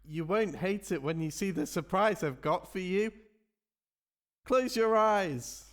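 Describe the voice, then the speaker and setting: A man's voice: high voice, lively intonation, slow pace. A man voicing an eager, earnest small-town sheriff in a puppet musical, naive and keen to please. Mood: eager, hopeful. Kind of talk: theatrical puppet show dialogue.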